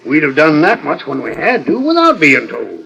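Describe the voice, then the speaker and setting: A man acting a gruff, suspicious cattle-drive cowboy with a folksy, creaky drawl in a 1940s radio play. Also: a little high, wildly swooping pitch, moderate pace, sharp tone, heavily acted. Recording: dull old radio transcription disc.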